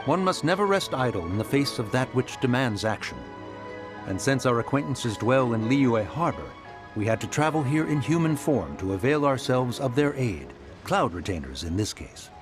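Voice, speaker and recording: Proud archaic mountain adeptus, voiced by a man; expressive rise and fall, formal sighing delivery. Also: mid-range voice, normal speed, music in the background, lightly acted. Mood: stately. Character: proud old male mountain adeptus in human form. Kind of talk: game voice acting.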